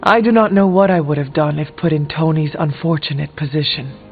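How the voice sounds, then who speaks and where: A man's voice: a little high, brisk, lightly acted. A man playing a grave, stern warrior hunter in a video game, with formal, solemn speech. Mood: reserved. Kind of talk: video game character dialogue. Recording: thin phone-like sound, music behind.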